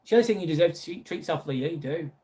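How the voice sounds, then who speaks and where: A man's voice: a little high, lively intonation, rapid-fire, mellow. Lancashire man chatting on a live stream. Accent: Lancashire English. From northwest England. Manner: chatty, reads out viewer messages and answers them. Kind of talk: casual live chat reading comments.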